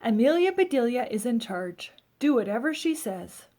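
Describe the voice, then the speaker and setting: Woman reading as a friendly, lively storybook mother. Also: a little high, normal speed. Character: friendly, chatty expectant mother in children's storybook.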